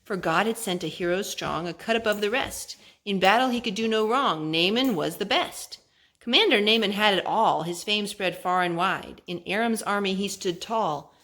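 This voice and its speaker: A mother reading a rhyming children's book aloud to her child, gentle and sing-song, pausing to ask him questions. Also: mid-range voice. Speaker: mother and storytime host.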